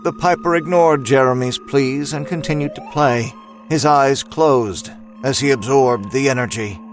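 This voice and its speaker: A man's voice: medium pitch, measured pace. Male horror narrator over music. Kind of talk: scripted horror story narration.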